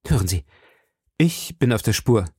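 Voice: mid-range voice, very animated, moderate pace, darkish tone, breathy edge, no room sound, studio quality. A narrator playing an ardent, insistent gallant in his late teens, speaking eagerly and formally in an audiobook. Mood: pressing. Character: ardent, insistent young gallant man, not yet twenty. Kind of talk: audiobook character dialogue.

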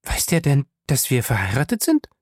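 Man voicing a sharp, wary woman, lively high pitch. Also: normal speed, dry recording, clean studio sound. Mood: surprised. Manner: curt, indignant, suspicious. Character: wary, sharp-tongued woman guarding her good reputation. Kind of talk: audiobook character dialogue.

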